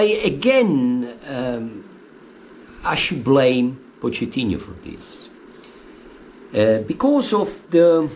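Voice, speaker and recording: A man's voice: slight background noise, rough recording. A man in his forties with an Italian accent, giving opinionated football commentary from his living room with many hesitant fillers. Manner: hesitant, many uh fillers, animated pitch swings. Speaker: football fan vlogger. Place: living room at home, indoors.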